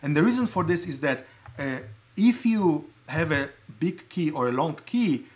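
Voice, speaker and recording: A middle-aged man from Poland hosting a casual show-and-tell livestream, talking in a halting, conversational way and often interrupting himself. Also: on the high side, lively intonation, a little mellow. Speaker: livestream host showing collected keyboards. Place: indoor room at a desk.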